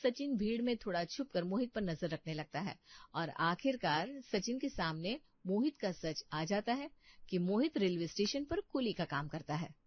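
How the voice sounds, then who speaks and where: A woman's voice: mid-range voice, sing-song, moderate pace, no echo, lo-fi sound. A woman narrating a scripted story as its storyteller.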